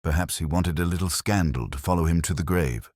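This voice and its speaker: A man voicing a cold, evasive older male town official in a measured, formal, condescending way. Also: low voice, darkish tone, no echo, clean studio sound.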